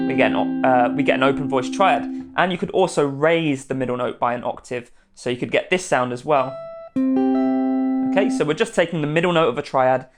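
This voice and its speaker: Male online guitar tutor, mid-pitched, methodical step-by-step delivery, guitar music audible around the voice. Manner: step-by-step, spelling out instructions. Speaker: guitar teacher, channel host. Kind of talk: instructional guitar tutorial to camera. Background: guitar playing.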